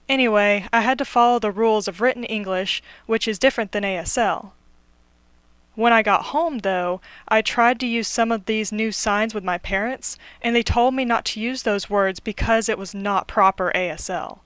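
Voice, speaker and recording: A woman student interpreter voicing a signer's personal story in steady first-person narration. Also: fairly high pitch, clear, resonant tone, no room sound.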